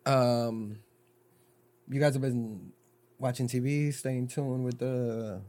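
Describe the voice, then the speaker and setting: A man co-hosting a podcast, chatting casually and joking, with animated swings into high mock voices. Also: takes it slowly. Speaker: podcast co-host. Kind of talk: casual banter.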